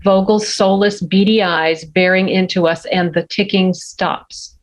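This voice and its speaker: A woman's voice: medium pitch, steady tone, unhurried. A woman reading scene headings and action lines as narrator at a script table read. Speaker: stage direction narrator.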